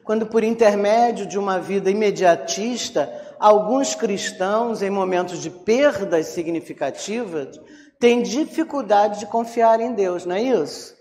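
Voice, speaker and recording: A woman's voice: medium pitch, unhurried, dark tone. A woman with a Rio de Janeiro Brazilian accent gives an informal religious talk, conversational and full of check-in questions to her listeners. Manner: conversational, acts out inner complaints, frequent check-in tag questions. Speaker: Spiritist lecturer. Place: reverberant hall, public talk. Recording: long hall reverberation.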